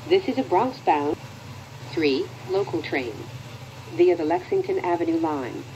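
An American woman reading scripted transit announcements in a measured, formal manner. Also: on the low side, warm tone, slight background noise, rough recording.

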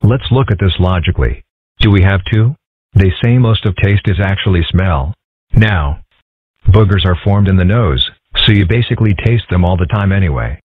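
A man voicing young animal boys in a cartoon comic dub, matter-of-fact and deadpan in delivery. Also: low-pitched, moderate pace, slightly rough, no room sound. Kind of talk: scripted comic-strip dub. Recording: dry, muffled phone-like audio.

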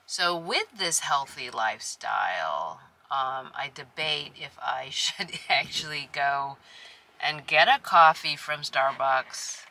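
A middle-aged woman chatting in a casual travel vlog, filmed on a phone held at arm's length, switching between hushed asides and excited bursts. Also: low voice, slow. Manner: chatty, excitable, shifts between hushed whispers and loud exclamations. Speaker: vlogger. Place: inside a minivan, then outdoors. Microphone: phone camera at arm's length.

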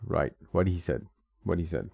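A man's voice: low-pitched, expressive, moderate pace, creaky, a little mellow, no echo, highs cut off. A man streaming retro games, chatting casually and thinking aloud with lots of ums and small laughs. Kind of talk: casual gameplay commentary. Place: home streaming setup. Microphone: desk mic.

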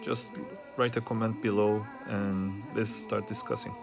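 A man giving an unscripted troubleshooting demonstration as a hobbyist repairer, speaking haltingly with frequent pauses and hesitations. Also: on the low side, level tone, vocal fry, music in the background. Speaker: hobbyist repairer asking viewers for help. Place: small indoor workspace at a repair bench.

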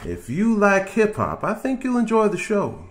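A man voicing a kind, encouraging storybook grandmother in a gentle, reassuring manner. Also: high-pitched, wildly swooping pitch, moderate pace, voice pushed up.

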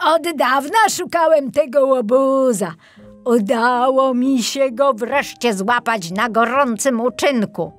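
A woman as a scornful, triumphant gamekeeper, pitched up. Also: takes it slowly, soft background music. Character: gruff, triumphant gamekeeper man, scornful and accusing. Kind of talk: children's storybook character acting.

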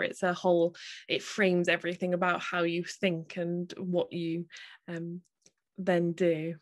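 A woman interviewing a guest for a festival, asking long questions with frequent hesitations. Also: lowish voice. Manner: hesitant, many fillers, warm laughter.

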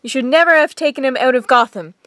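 High-pitched, distraught bystander. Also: female voice, quick pace, pushed and bright, dry recording. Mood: distraught, accusing. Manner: emotional, accusatory. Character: accusing, distraught female diner bystander. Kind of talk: superhero audio drama acting.

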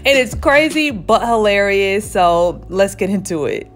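A woman's voice: mid-range voice, lively intonation, measured pace, soft background music. A woman in her thirties, a hairstylist, chatting casually while seated in her small salon, telling a story with lots of laughs. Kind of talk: casual storytime while getting a wig installed. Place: small indoor hair salon. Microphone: camera at chest height, seated facing it.

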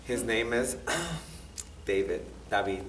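A man's voice: on the low side, fairly even intonation, slow pace, a little hiss or hum. A man from Puerto Rico answering interview questions expressively. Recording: echoey room, distant mic.

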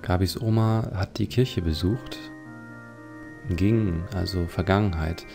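A man's voice: low-pitched, gravelly. A man hosting a let's play, giving leisurely, reflective commentary on a video game.

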